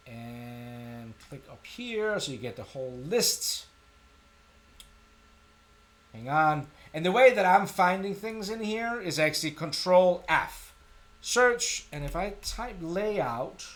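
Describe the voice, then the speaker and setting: A middle-aged man with a Danish accent speaks in a slightly high voice. He hosts a live software tutorial in a casual, informal style, thinking aloud as he works. His manner is self-deprecating. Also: animated, takes it slowly. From Denmark. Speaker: tutorial live stream host.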